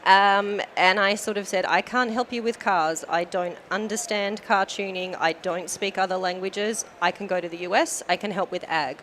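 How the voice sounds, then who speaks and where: A woman's voice: mid-range voice, fairly even intonation, normal speed, few pauses, a bit bright, slight background noise. Chatty Australian woman guest. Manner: chatty, self-deprecating, frequent fillers. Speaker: guest, company manager. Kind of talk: casual podcast interview.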